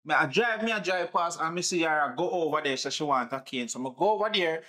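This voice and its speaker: Man as boastful cheating husband, Jamaican accent, high-ish, dismissive. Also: expressive, fairly quick, bright, forceful tone. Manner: defensive, boastful. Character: brash, unfaithful husband, defensive.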